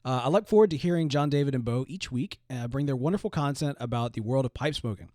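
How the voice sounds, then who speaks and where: A man co-hosting a podcast, talking in an animated, casual way with lots of fillers and restarts. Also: medium pitch, fast, no echo, clean studio sound. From Southern US. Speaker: podcast co-host. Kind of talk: casual chat.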